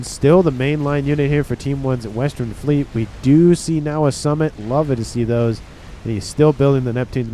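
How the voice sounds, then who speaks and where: A man's voice: medium pitch, slight background noise. Congested man casting a strategy game. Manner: relaxed, rambling, talks to viewers. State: congested, nasal from a cold. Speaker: game caster. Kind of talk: casual play-by-play commentary.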